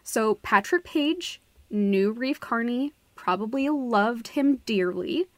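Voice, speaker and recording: A woman's voice: on the high side, expressive, slow, no room sound. A woman co-hosting a podcast, giving her opinions casually in a frank, emphatic way. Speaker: podcast co-host.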